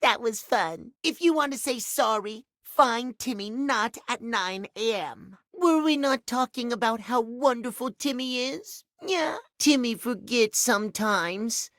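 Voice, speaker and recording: A man's voice: extremely high pitch, lively intonation, measured pace, hardly any pauses, fairly bright tone, dry recording, studio quality, heavily acted. A man voicing a vain, needy, childish male cat clock in a video game, using pouty third-person baby talk. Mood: playful, smug. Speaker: voice actor. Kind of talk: video game character acting.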